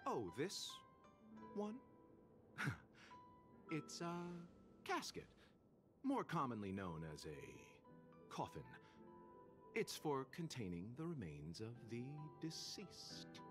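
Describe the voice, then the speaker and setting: A man playing a courteous, worldly, enigmatic merchant in a video game, with refined, formal, gently amused speech. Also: mid-range voice, huge pitch swings, slow, pauses often. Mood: urbane, gentle. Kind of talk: video game character dialogue. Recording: prominent background music under the voice.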